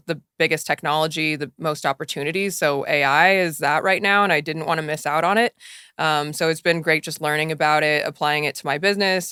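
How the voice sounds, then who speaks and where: Upbeat American woman guest, 30s, crisp bright tone, dry close studio mic. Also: deep voice, dry recording. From Los Angeles, US. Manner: upbeat, laughs often, lists things quickly. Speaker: podcast guest. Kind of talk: casual interview chat. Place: podcast studio.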